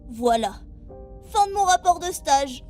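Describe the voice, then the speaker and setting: A small, high-pitched child on an internship aboard a spaceship. The delivery is earnest and guileless, as acted in a sci-fi audio drama, with soft music underneath. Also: female voice, lively intonation, a little slow. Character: seven-year-old child trainee aboard a spaceship, earnest and innocent. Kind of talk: French audio drama acting. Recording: music behind.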